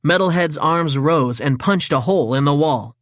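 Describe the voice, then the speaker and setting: A woman reading a children's story in an expressive, dramatic read-aloud style. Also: deep voice, moderate pace, dry recording, clean studio sound. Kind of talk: scripted storybook narration for children.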